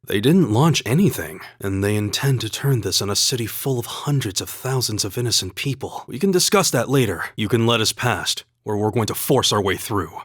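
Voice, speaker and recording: A man voicing a calm, courteous and earnest young male student fighter in a video game, speaking in a measured, polite way. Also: lowish voice, slightly rough, no room sound, clean studio sound. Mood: stern, resolute. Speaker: video game character voice actor.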